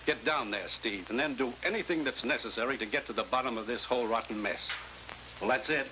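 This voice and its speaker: An actor playing a brisk, authoritative commissioner in a 1950s spy drama, clipped and urgent. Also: male voice, mid-range voice, close to an everyday voice. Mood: urgent, commanding. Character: brisk, commanding male spy agency commissioner. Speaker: actor in a supporting role. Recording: faintly noisy vintage 1950s broadcast recording.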